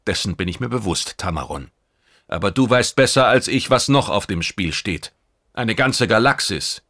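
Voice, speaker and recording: A man voicing a self-assured male operative in a science fiction audiobook, controlled and deliberate. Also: fairly low pitch, expressive, pushed and bright, no room sound, studio quality, full character voice. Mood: cool, insistent. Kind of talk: audiobook character dialogue.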